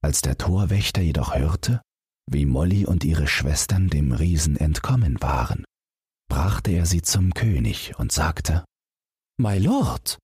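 A man's voice: extremely low pitch, animated, a little slow, darkish tone, breathy edge, no room sound, studio quality. A man narrating a scripted bedtime fairytale as an audiobook reader, telling it expressively.

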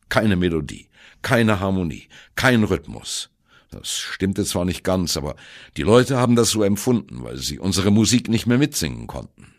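Radio play monologue: older jazz musician, wry and self-doubting, gravel-throated bass, dry sardonic storyteller. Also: male voice, heavily acted. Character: wry, self-doubting ageing free jazz drummer reminiscing. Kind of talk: radio play monologue, first-person narration.

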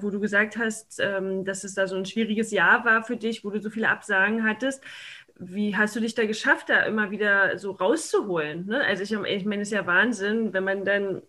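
Bright-voiced woman asking casual questions. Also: mid-range voice. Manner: informal, rambling questions. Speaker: podcast interviewer.

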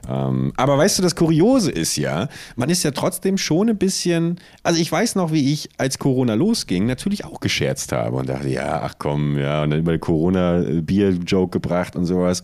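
A man with a fairly low voice, recorded close and dry. He chats casually as a podcast co-host, rambling and tripping over words with ironic, self-deprecating humor. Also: a little mellow, dry recording. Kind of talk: casual joking chat.

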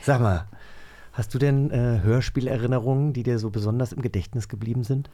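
A man's voice: mid-range voice, fairly even intonation, fairly quick, warm tone. A man chatting casually as the co-host of a podcast. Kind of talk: casual conversational chat.

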